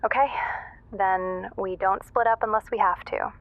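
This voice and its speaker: A woman playing a blunt, sharp young investigator in an audio drama, heard over a phone call. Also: medium pitch, steady tone, unhurried. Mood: alarmed, urgent.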